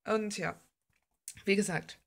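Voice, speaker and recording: Casual, blunt woman with creaky voice. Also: mid-range voice, steady tone, takes it slowly. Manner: informal, blunt, slangy, gushing about details. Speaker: art vlogger. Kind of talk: casual rambling chat while drawing.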